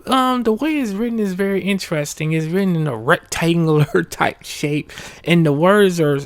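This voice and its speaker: A man hosting an ASMR bedtime story, speaking softly and often whispering, with a relaxed, informal manner. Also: sits high, moderate pace, dry recording. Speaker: ASMR video host and storyteller. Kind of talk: ASMR bedtime story reading, casual asides.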